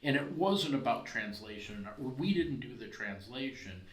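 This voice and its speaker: A man's voice: medium pitch, expressive, unhurried, roomy sound, rough recording. A man hosting a podcast who talks in a discursive, conversational way with many asides. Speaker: podcast host. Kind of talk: conversational interview.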